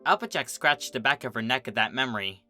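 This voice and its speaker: Story narrator, mid-pitched, lively intonation, scripted dramatic reading, faint music underneath. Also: quick pace. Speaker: fan fiction narrator.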